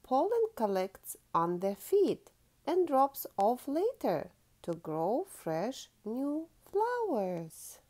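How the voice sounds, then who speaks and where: A woman's voice: fairly high pitch, wildly swooping pitch, takes it slowly, dark tone. A woman hosting a children's storytime, reading a picture book aloud expressively, drawing out key words and prompting young listeners like a teacher.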